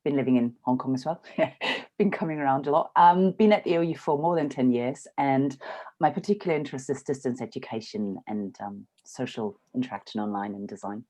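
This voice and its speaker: A woman from Germany speaks on a video call in a very low, mellow voice. She introduces herself in a friendly, quick way. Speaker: distance education lecturer.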